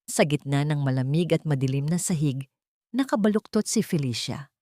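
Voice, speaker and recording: A woman's voice: low voice, darkish tone, no room sound, studio quality. A woman narrator reading a scripted story in a dramatic style.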